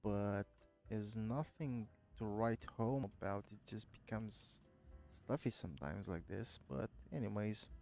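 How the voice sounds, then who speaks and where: A man with a stuffed-up, nasal voice from a cold chats slowly and casually while streaming a driving game. He thinks aloud, trails off and fills gaps with "uh", in a fairly low voice, with quiet music under him. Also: gravelly. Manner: rambling, thinking aloud with frequent fillers. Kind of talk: casual live-stream chat while gaming.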